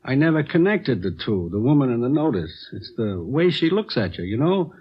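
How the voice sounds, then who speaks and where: Folksy, husky American grocer. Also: male voice, mid-range voice, big pitch swings, moderate pace. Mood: apologetic, rambling. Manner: folksy, rambling, hesitant. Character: chatty, folksy widowed grocer, obliging shopkeeper. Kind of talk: 1950s radio drama acting. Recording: dull old radio recording.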